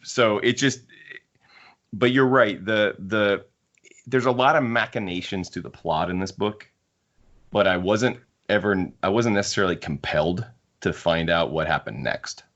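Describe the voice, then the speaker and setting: A man with a very low voice hosts a casual book discussion through slightly muffled, lo-fi audio. He talks in a halting way, often repeating and rewording his phrases. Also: a bit bright. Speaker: podcast host.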